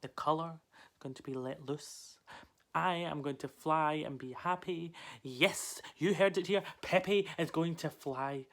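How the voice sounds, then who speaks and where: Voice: medium pitch, fairly even intonation, moderate pace, close to an everyday voice. An actor playing a weary but defiant caged male parrot in a solo theatrical monologue, speaking in an emphatic, conversational way. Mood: defiant, proud.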